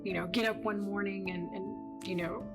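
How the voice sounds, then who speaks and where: A woman's voice: mid-range voice, fairly even intonation, moderate pace. Woman, 30s, from Dallas, chatty and casual, faint music underneath. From Dallas, Texas. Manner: conversational, with fillers. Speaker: interviewee. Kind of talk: casual reflective interview.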